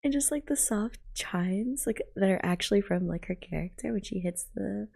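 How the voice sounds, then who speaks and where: A young woman giving gushing, casual reaction commentary in a hushed, half-whispered ASMR manner, close to the microphone. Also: medium pitch, expressive, normal speed, gravelly, faint music behind. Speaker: VTuber reacting to a game trailer.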